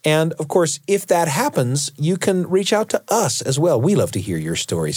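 A man's voice: medium pitch, darkish tone, no room sound. A middle-aged American man hosting a radio show, telling personal stories in a conversational way with emphatic asides. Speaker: radio show host and storyteller.

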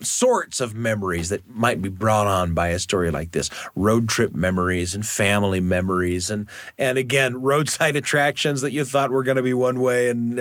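A man's voice: medium pitch, a bit bright, dry recording, studio quality. A man hosting a radio show, introducing stories and chatting with guests. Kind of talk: hosted radio narration and chat. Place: studio.

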